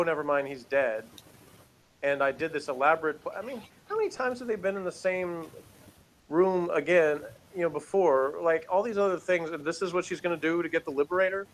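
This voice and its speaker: Man, slightly high voice, rambling exasperated review, emphatic, casual, faint background noise. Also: fairly bright tone, rough recording. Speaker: podcast review co-host. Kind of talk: casual opinionated review rant.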